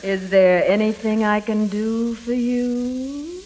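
An actress playing a coy, doting drugstore clerk who flirts and teases in a cooing 1940s radio comedy style. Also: female voice, fairly high pitch, steady tone. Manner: cooing, teasing, drawn-out delivery. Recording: crackly old radio recording.

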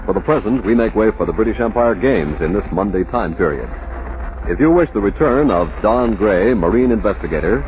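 A man announcing in a formal, measured mid-century radio style, reading from a script. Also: lowish voice. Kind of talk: 1940s-50s radio announcing, scripted credits. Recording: narrow-band old radio broadcast with music. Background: music.

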